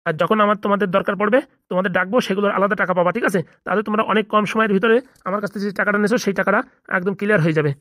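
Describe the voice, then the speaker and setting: A man's voice: high voice, fairly even intonation, very fast, fairly bright tone, no room sound, clean studio sound. A man voicing a blunt, self-important small-time gangster boss in a comedy cartoon, bossy and businesslike with a Bengali accent. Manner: bossy, businesslike, repeatedly seeking agreement.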